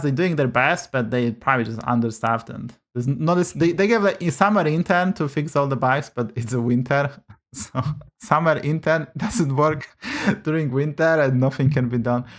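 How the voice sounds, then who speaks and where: A man with a Polish accent, a native Polish speaker, giving blunt, swear-heavy casual commentary on a game stream from a home setup. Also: a little high. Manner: blunt, swears often, rants about bugs.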